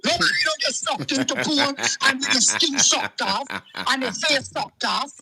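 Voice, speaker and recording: A man's voice: very high-pitched, big pitch swings, measured pace, creaky, a crowd in the background. A man, calling in to a show and ranting heatedly, repeating himself. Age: 40s. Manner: agitated, repetitive, keeps saying "let me tell you something". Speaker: caller. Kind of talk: heated call-in rant.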